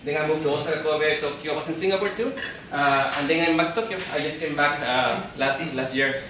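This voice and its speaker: A man from Peru in his thirties, speaking English with a Peruvian Spanish accent, gives a live talk to an audience. He speaks haltingly, often repeating and restarting words. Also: on the high side, heavy reverb, distant mic, noisy background. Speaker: event speaker. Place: event hall.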